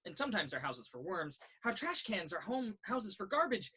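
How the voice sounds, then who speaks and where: A woman reading a children's picture book aloud in a sing-song, rhythmic way, with a slightly husky voice. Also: medium pitch, big pitch swings, moderate pace, pushed and bright, slight background noise. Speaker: story reader. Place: indoors in a children's library.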